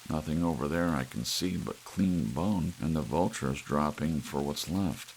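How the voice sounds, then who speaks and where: Man acting a very deep-voiced, dismissive husband. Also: unhurried, darkish tone, slight background noise, rough recording. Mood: calm, amused. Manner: reassuring, dismissive. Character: confident, amused husband, soothing and dismissive.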